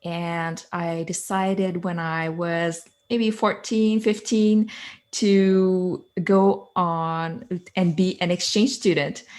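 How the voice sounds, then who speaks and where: A woman's voice: fairly low pitch, darkish tone. A woman in her thirties from Quebec with a Quebec French accent, a native French speaker, earnestly telling her story as an interview guest. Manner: earnest, chains thoughts with "so" and "like". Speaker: guest, author and coach. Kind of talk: conversational interview.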